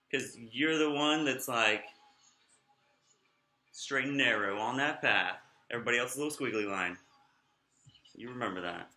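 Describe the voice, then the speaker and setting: A man's voice: medium pitch, pushed and bright, reverberant. A young man vlogging in a casual, rambling way, sounding nasal and slurring his words a little. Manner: rambling, off-topic, chatty.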